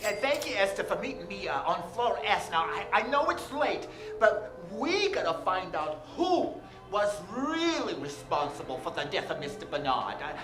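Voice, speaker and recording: A woman's voice: mid-range voice, very animated, normal speed, natural voice. A woman playing a flustered, eager amateur sleuth who stammers and repeats herself in a stage radio drama. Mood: confiding. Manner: stammering, breathless repetition. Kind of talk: 1940s-set radio drama acting on stage. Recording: echoey theater with loud music.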